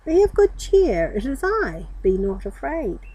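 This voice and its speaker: Woman as kindly male master, storybook reading, gentle authority, reassuring, mellow, lilting pitch. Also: sing-song, unhurried, breathy edge, muffled recording, heavily acted. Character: kind, calm, reassuring male spiritual master.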